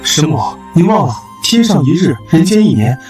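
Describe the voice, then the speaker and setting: An actor playing a minor immortal official who reports respectfully. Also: male voice, a little high, sing-song, moderate pace, a little mellow, faint music behind. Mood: deferential. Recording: distant and very echoey.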